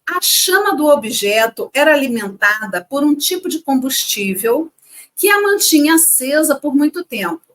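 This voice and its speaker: Lively high-voiced woman co-host. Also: moderate pace. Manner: animated, playful asides. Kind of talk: casual educational chat.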